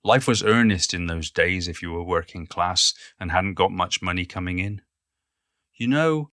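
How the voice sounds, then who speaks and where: A man playing a reflective, modest older Cornish poet in an audio play monologue, reminiscing in a measured, literary and musing way. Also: lowish voice, a bit bright, dry recording, clean studio sound. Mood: sober reminiscence.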